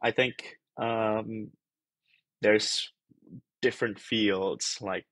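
A young man from Indonesia talks through his ideas on a video call, circling back with fillers and restarts. His voice sits a little low with a gravelly, husky edge. The sound is dry and close. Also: steady tone, very slow, a bit bright, no room sound, low-quality recording. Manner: rambling, thinks aloud with many fillers and restarts. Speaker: guest, PhD researcher. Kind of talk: casual video-call interview. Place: small bedroom-like room.

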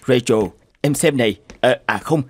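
A man's voice: medium pitch, measured pace, close to an everyday voice. A man playing an eager, inventive middle-aged husband in a cartoon, musing expressively. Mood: excited, hopeful. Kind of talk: cartoon dubbing. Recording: clear music and rain behind.

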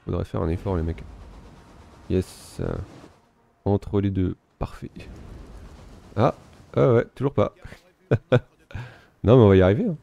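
A man giving relaxed, self-mocking commentary while playing a strategy game, thinking aloud. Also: on the low side, measured pace, vocal fry, long pauses, dull audio. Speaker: gaming video host. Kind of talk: casual let's-play commentary.

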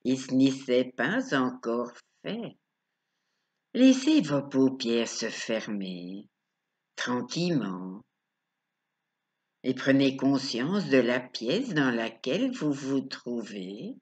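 A woman leading a guided relaxation as a coach, speaking calmly and instructively in short phrases with pauses. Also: rumbling low. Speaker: relaxation coach guiding yoga nidra.

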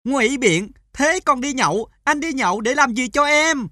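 A woman playing an exasperated, nagging housewife in a comedy cartoon, with a sharp scolding manner. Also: high voice, lively intonation, moderate pace. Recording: loud music behind.

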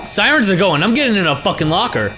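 A young man with a hoarse voice, commentating a horror game he is playing, swearing and reacting to scares. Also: sits high, sing-song, quick pace. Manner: reactive, swearing, jumpy exclamations. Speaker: gaming YouTuber. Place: small room at home. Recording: game music behind the voice.

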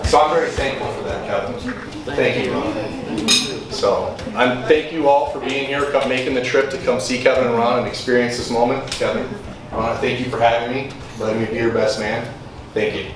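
A man's voice: mid-range voice, steady tone, normal speed. Best man toasting in an echoey hall. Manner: grateful, slightly rambling toast. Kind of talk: wedding toast. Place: large windowed reception hall. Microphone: handheld microphone, filmed from a distance. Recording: echoey hall, far from camera mic.

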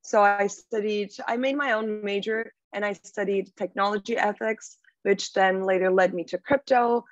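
A woman's voice: mid-range voice, no room sound. A young woman from Turkey with a Turkish accent, a podcast guest talking thoughtfully with some fillers and restarts over a video call. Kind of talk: casual interview talk. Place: small room at home. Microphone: laptop mic on a video call. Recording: dull, dry video-call audio.

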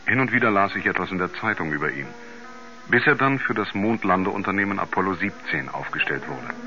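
A grave writer narrating an old radio drama, deep measured voice, music clearly behind him. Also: male voice, lively intonation, bright, forceful tone, dull audio, full character voice. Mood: sober, foreboding. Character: sober male writer recalling his astronaut friend. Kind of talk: 1970s German radio play narration. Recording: band-limited 1970s radio recording with music.

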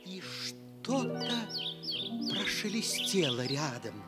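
A man narrating a fairy tale for Soviet radio, tender and expressive, with dramatic pauses. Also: high voice, loud music behind the voice. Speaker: storyteller.

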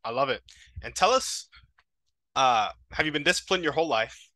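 A man's voice: mid-range voice, lively intonation, moderate pace, bright, forceful tone. A man hosting a podcast interview over a video call, asking questions and offering short encouraging interjections. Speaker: podcast host. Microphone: headset on a video call.

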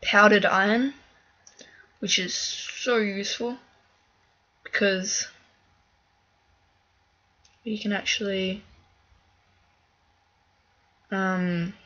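Slightly hoarse woman narrating gameplay. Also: mid-range voice, steady tone, very slow, pauses often, bright, forceful tone, highs cut off. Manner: chatty, thinks aloud with fillers. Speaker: gaming video creator. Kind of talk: casual let's-play commentary.

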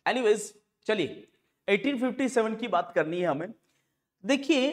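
Casting: male lecturer, 40s, slightly high voice, unhurried delivery, sore throat, repeats key points. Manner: slow, deliberate, repeats key phrases while writing. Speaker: history teacher for exam students. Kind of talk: classroom lecture with asides to students. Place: indoor studio classroom at a green board. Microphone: clip-on lapel mic.

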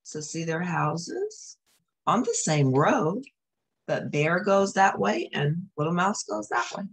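Female storyteller for a children's picture book: husky, lowish voice, expressive read with friendly asides to young listeners. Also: slow.

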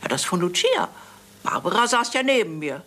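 An actress playing an older, chatty and fussy English spinster aunt in a German crime radio play, rambling effusively. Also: female voice, fairly low pitch, very animated, normal speed, pushed and bright, a little hiss or hum. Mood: intent recalling.

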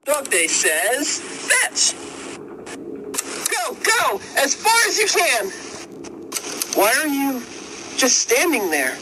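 A man voicing an excitable, desperate dog toy in scripted game lines. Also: very high-pitched, slow, pauses often, very noisy, heavily acted. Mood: playful.

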